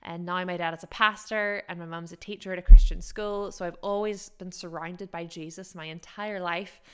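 A woman's voice: on the low side, steady tone, moderate pace, fairly bright tone, clear, resonant tone. A young adult woman with a mixed American, Scottish and Northern Irish accent, co-hosting a casual podcast chat in a chatty, self-deprecating way. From Japan-born, raised in America. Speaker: podcast co-host.

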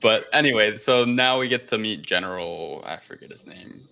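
A man's voice: fairly low pitch, normal speed, vocal fry, bright, forceful tone. A man hosting a podcast, recapping a film in casual, loose, informal conversation. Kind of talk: casual film review chat.

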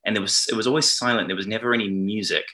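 A man's voice: on the low side, steady tone, rapid-fire, bright, forceful tone. A man in his thirties, raised in New Zealand, with an Australian accent, chatting casually as a podcast guest in a rambling way, often breaking off mid-sentence. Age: 30s. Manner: rambling, self-interrupting, laughs between thoughts. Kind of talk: casual chat.

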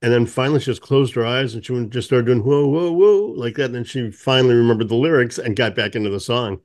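A man hosting a music podcast, chatting in a relaxed way and telling stories with fillers. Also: mid-range voice, fast talker. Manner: relaxed, anecdotal, with fillers and laughs. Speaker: podcast host. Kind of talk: casual interview chat.

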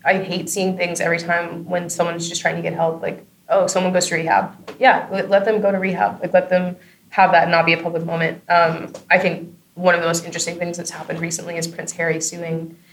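A woman's voice: fairly low pitch, low-quality recording. A woman giving casual, chatty interview answers as a guest, with informal phrasing and frequent tag questions. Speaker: interview guest, audio drama creator and comedy performer. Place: on location at a film festival.

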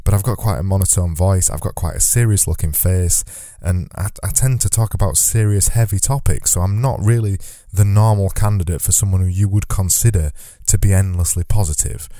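A man hosting a solo podcast, talking earnestly and reflectively, lifting a voice he calls naturally monotone. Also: deep voice, brisk, no room sound, studio quality. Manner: earnest, reflective, says he lifts his normally monotone voice. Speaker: podcast host.

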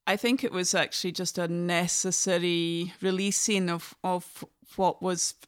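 A woman's voice: on the low side, fairly even intonation, a little slow, clean tone. A Scottish woman in her forties, a guest musician talking thoughtfully in a reflective conversation, often restarting her sentences. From Scotland.

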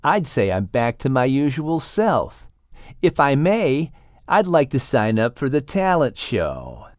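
A man voicing a suave, secretive snake in a children's storybook, with a courtly, drawn-out way of talking. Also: mid-range voice, wildly swooping pitch, no room sound, studio quality. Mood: coy, confident.

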